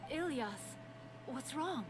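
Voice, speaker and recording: A woman voicing a gentle, worried mother in a video game. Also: high-pitched, lively intonation, takes it slowly, soft background music. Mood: concerned.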